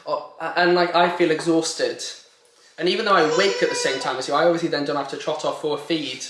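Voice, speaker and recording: A young Welsh man vlogging at home in a chatty, excitable, self-mocking way. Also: fairly high pitch, fast talker. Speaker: vlogger, new father. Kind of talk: casual home vlog chat. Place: home, indoors. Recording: echoey room with background noise.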